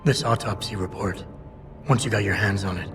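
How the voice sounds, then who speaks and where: A man's voice: on the low side, fairly quick, close to an everyday voice. An actor playing a hardened, terse male security agent in a video game cutscene. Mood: steady. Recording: distant voice in reverb over loud music.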